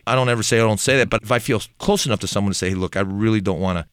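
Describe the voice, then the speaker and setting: A man's voice: fairly low pitch, very fast, smooth, dry recording, clean studio sound. Turkish-born man, earnest and candid. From Turkey. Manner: earnest, self-deprecating, laughs easily. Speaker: ministry leader, podcast guest. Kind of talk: casual conversation on faith.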